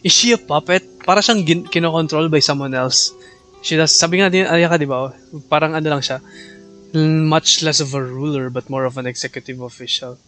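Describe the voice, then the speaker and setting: Male livestreamer, Filipino accent, Tagalog-English mix, casual and chatty, a touch high-pitched, light music underneath. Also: steady tone, a little fast, sharp tone. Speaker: game livestreamer. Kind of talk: casual live game commentary. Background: faint game music.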